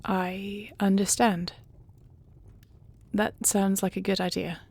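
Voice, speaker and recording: A woman acts out a dutiful dragon-shifter queen in a fantasy audio drama. Her speech is formal and measured, with gravelly fry in a mid-pitched voice. It sounds dry and close. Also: flat delivery, a little mellow, no echo, heavily acted. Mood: wary, solemn. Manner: formal, deliberate phrasing. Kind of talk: fantasy audio roleplay acting.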